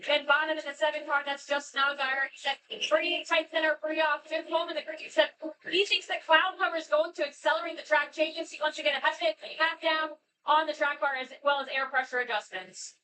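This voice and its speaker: A woman pit reporter relaying team radio reports in a crisp broadcast style. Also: sits high, fairly even intonation, a little fast. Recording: distant noisy broadcast audio.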